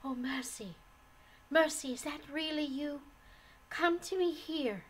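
A woman's voice: sits high, takes it slowly, mellow, slight background noise, pitched above the performer's own voice. A woman voicing a heartbroken, despairing wife and mother in a storybook, with a trembling, pleading, sobbing delivery. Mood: imploring. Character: heartbroken, despairing, unhappily married young mother.

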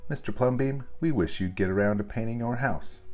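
A man's voice: mid-range voice, fairly quick, a little mellow, soft background music, pitched above the performer's own voice, natural voice. A man voicing fussy, scandalized neighbours who complain and exclaim in a children's storybook. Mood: disappointed. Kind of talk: children's storybook read-aloud.

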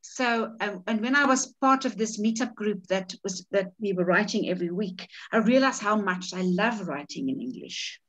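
A woman's voice: mid-range voice, moderate pace, few pauses, a bit bright, rough recording. A woman with a South African accent talks through a writing workshop over a webcam call. Her first language is Afrikaans, and she speaks in a chatty, looping way, restarting and repeating words as she thinks. Her voice sits in a middle range. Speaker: author leading a writing workshop. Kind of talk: casual workshop talk on a video call. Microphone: video call webcam.

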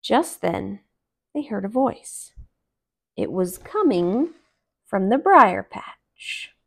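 A woman in her thirties narrating a children's story aloud in an expressive, deliberate way. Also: mid-range voice, gravelly, husky. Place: small living room, on a couch.

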